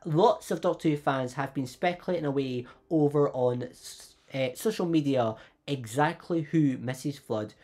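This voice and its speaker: A man's voice: moderate pace, a little mellow. A man hosting his own channel, talking through fan theories in an upbeat, chatty way while he has a cold. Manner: upbeat, chatty, often rising in pitch. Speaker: YouTube channel host. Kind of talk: fan theory video commentary.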